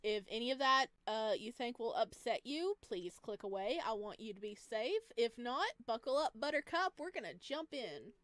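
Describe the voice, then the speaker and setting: A woman's voice: on the high side, lively intonation, moderate pace, few pauses, a bit bright, no echo. A woman hosting a gaming video, giving gushing, playful let's play commentary. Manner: gushing, exclamatory, playful cutesy slang. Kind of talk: excited let's play commentary with read-aloud game text. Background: music.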